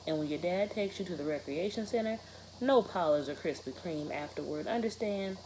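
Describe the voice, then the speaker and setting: A woman's voice: lowish voice, moderate pace, a little mellow, a little hiss or hum, lightly acted, rough recording. A woman voicing a serious, firm mother of a teenage son in a novel read-aloud.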